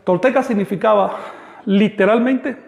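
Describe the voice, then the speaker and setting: A man giving an online language lesson, explaining terms slowly and deliberately. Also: sits high, creaky, darkish tone. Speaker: language teacher. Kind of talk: online lecture. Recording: echoey room.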